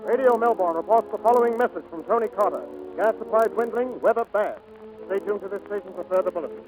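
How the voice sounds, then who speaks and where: Actor as an urgent high-pitched radio newsman. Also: fast talker, lightly acted, poor audio. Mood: urgent, grave. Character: urgent male radio news announcer. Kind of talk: 1940s radio bulletin acting. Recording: vintage radio recording with music behind.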